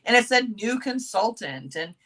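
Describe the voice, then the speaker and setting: A middle-aged woman with a bright, forward voice, a little raspy from recent yelling. She speaks earnestly over a video call and often stops and restarts her sentences. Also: fairly high pitch, animated. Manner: halting, earnest, with many restarts. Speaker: sales consultant guest. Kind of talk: personal sharing on a video call.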